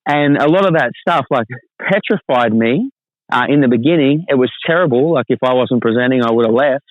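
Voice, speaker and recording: A man chats as a podcast guest, winding through his story in a relaxed, friendly ramble and often calling his host mate. His voice sits at an ordinary middle pitch. The recording is dry and close, with a slightly dull, lo-fi tone. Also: fairly quick, no echo. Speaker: podcast guest, fitness business owner. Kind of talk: casual storytelling interview.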